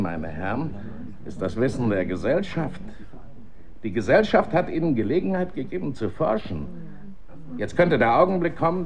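A male director of a research works in a German radio drama. He addresses people formally and with authority, his intonation rising and falling widely in rhetorical, measured phrases. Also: medium pitch, darkish tone.

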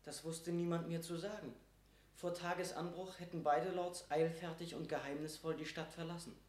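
An actor playing a formal, deferential young court servant reporting news in a classical radio drama. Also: male voice, a little high, fairly even intonation, normal speed, a little mellow, close to an everyday voice. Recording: echoing room, slightly distant.

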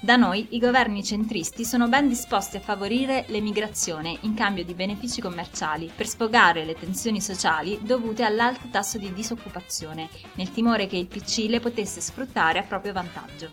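A woman hosting a radio program, reading scripted historical narration in a measured, formal way. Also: mid-range voice, fairly bright tone. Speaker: radio host. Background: music under the voice.

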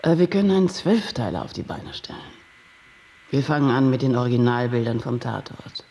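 Creaky-voiced slick TV producer. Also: male voice, lively intonation, a little fast, darkish tone, slight background noise. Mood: grandiose pitching. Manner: grandiose sales-pitch talk, emphatic. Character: slick sensationalist TV producer, pushy, easily panicked man. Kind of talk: German radio play acting.

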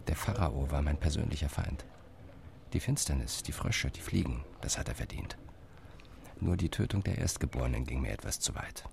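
A man's voice: a bass voice, lively intonation, moderate pace, creaky, a little mellow, a little hiss or hum, full character voice. An actor playing an older Zionist negotiator, narrating his memories in a wry, reflective way. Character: Zionist negotiator, older man, dry and ironic. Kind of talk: radio drama memoir narration.

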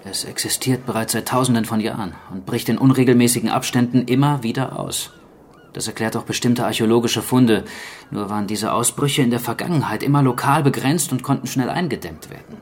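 A man playing a sober archaeologist in a radio drama, speaking in a measured, explanatory way. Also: medium pitch, close to an everyday voice. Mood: grave, explanatory. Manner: measured, matter-of-fact, explanatory. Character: sober male archaeologist explaining a zombie plague. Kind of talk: naturalistic German radio drama acting.

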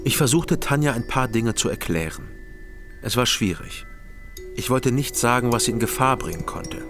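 An actor playing a guarded, sober investigator who narrates a radio crime drama in an understated, matter-of-fact way. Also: male voice, medium pitch, lively intonation, normal speed, close to an everyday voice. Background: music.

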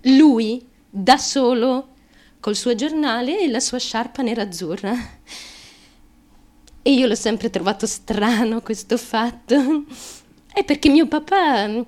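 An actress playing an unhinged, naive young woman in a dramatic monologue, confessing with halting, obsessive repetition and ferocious seriousness. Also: a little high, a little mellow. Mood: wistful, obsessive. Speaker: stage actress. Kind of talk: dramatic stream-of-consciousness monologue.

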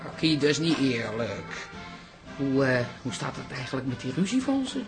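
An actor playing an excitable, nosy male gossip in a radio drama, speaking hesitantly. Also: mid-range voice, moderate pace, music in the background. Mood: gossipy. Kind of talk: Dutch radio drama acting.

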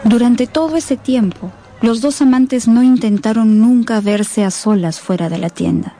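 A woman's voice: a little high, unhurried, mellow. A woman narrating a tragic radio drama as a solemn storyteller, in scripted dramatic style.

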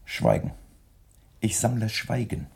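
Casting: younger editor, weary and deadpan, lowish husky voice, quietly defiant, radio drama. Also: male voice, takes it slowly, exaggerated voice. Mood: quiet, confessional. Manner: laconic, deadpan. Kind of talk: German radio play acting.

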